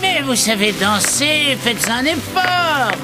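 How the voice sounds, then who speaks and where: A woman voicing a bossy dance-floor leader in an animated cartoon, calling out commands in drawn-out phrases. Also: high-pitched, full character voice. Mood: insistent. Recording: loud music over the voice.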